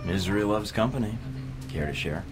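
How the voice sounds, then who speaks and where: An actor playing a smooth, wisecracking undercover secret agent in a video game cutscene, with wry, teasing, understated delivery. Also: male voice, on the low side, lively intonation, brisk, lightly acted. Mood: wry, flirty. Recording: music behind the voice.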